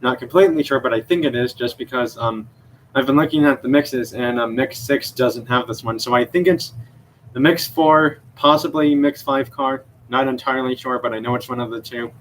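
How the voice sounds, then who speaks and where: A man's voice: mid-range voice, moderate pace, a bit bright, slight background noise, low-quality recording. Rambling young man, lots of ums. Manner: rambling, many fillers, unsure self-corrections. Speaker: diecast collector streamer. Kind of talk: casual live stream show-and-tell. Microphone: computer mic.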